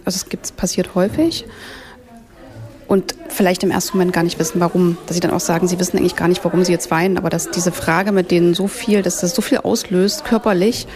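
A woman's voice: on the low side, steady tone, quick pace, darkish tone. A woman from eastern Germany answering interview questions in a thoughtful, searching way. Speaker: founder of a women's salon, interviewee. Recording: crowd chatter in the background.

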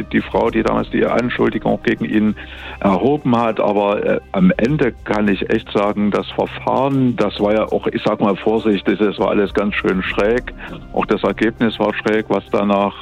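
A man's voice: fairly low pitch, creaky. A man in his fifties from Dresden, a guest speaking by phone in an interview, reflective and hesitant, often restarting his sentences. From Dresden, Germany. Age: 50s. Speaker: interview guest, academy director. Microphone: telephone line. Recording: muffled phone line.

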